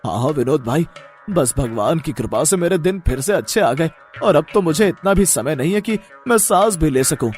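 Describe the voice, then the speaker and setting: A man voicing a cheerful, humble jalebi seller and loving village husband in an animated moral story, speaking eagerly and reassuringly. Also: a little high, normal speed, faint music behind, close to an everyday voice. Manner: eager, loud, reassuring, expressive.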